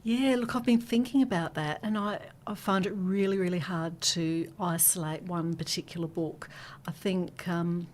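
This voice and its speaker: A woman with a fairly low voice talks casually as a podcast guest. There is faint ambient noise behind her. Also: steady tone, moderate pace, a little mellow. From South Australia. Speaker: author and illustrator guest. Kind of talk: casual interview talk. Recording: noticeable background ambient noise.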